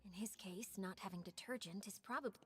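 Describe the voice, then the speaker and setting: A woman's voice: medium pitch, a little fast, breathy edge. A woman voicing a polite, composed young female investigator with an analytical manner in a video game. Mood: considering.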